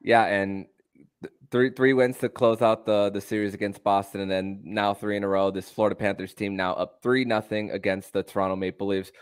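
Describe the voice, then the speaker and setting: A man hosting a sports podcast, talking in a halting way with repeated and drawn-out words. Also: fairly low pitch, level tone, clean tone, dry recording, studio quality. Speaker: podcast host.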